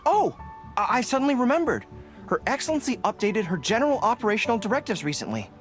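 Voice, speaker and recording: A man's voice: very high voice, sing-song, fast, soft background music. A man voicing an earnest, dutiful young general in an English anime game, thinking aloud in formal military phrases. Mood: thoughtful, earnest. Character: earnest young male general, dutiful, eager, slightly flustered.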